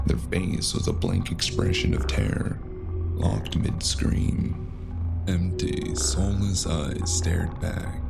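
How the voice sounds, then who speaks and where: A man with a gravelly voice narrating a scripted first-person story in a gritty, dramatic way. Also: low-pitched. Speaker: narrator of a cyberpunk audio drama. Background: loud music.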